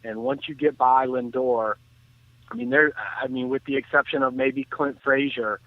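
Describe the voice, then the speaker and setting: Heard through a thin, phone-like connection with no room sound, a man talks sports. His voice sits at an average pitch, and he analyses casually, restarting phrases as he goes. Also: level tone, normal speed, no room sound. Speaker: sports talk commentator. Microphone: phone call. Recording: thin, tinny phone line.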